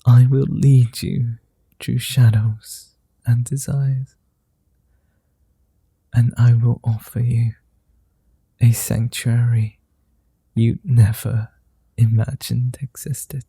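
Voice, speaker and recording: A courtly male vampire, played by a man, murmurs in a dark, gravelly voice that turns husky and breathy as he leans into whispers. He takes his time over every word, very slow and patient, close and dry as if right at your ear. His formal, old-fashioned manner is gentle and coaxing. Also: mid-range voice, little pitch movement, no echo, heavily acted. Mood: tender, seductive. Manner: soft-spoken, slow, formal, drifting into whispers. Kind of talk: ASMR roleplay.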